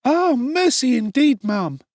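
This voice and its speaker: A man voicing a violent, shrill young woman servant who screams and scolds. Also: sing-song, takes it slowly, a little mellow, dry recording, studio quality, exaggerated voice. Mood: shrill fuss.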